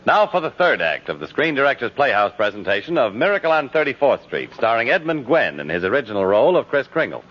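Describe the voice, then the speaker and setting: Over clear music on a dull 1950s radio recording, a male announcer narrates from a script. His voice is mid-pitched and highly varied in tone. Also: big pitch swings, quick pace, hardly any pauses.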